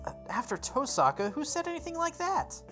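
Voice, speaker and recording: A woman's voice: high voice, big pitch swings, fairly quick, sharp tone, voice pushed up, exaggerated voice. A woman voicing an earnest, puzzled schoolboy who questions and protests in a visual novel. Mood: indignant. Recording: music playing behind the voice.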